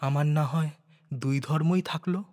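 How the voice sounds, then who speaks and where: Man voicing an earnest, soft-spoken, resolute young woman. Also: a little high, fairly even intonation, unhurried, big-room sound, lightly acted. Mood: earnest, pleading. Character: earnest, devoted young woman, once outcast, now resolute.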